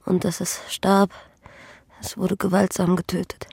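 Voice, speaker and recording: Breathy, husky dying woman. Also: on the low side, little pitch movement, a little slow, a little mellow, dry recording, exaggerated voice. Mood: grave, confiding. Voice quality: breathy, weak whispery voice. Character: dying young peasant woman, sorrowful, bitter, wronged. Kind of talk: German radio play acting.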